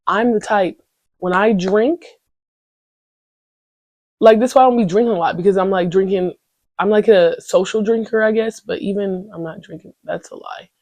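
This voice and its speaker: A woman's voice: medium pitch, normal speed, long pauses, a little mellow, dry recording. A young woman hosting her own podcast, chatting candidly in a slangy, rambling way full of "you know what I'm saying.". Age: young adult. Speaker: podcast host. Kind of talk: casual solo podcast chat.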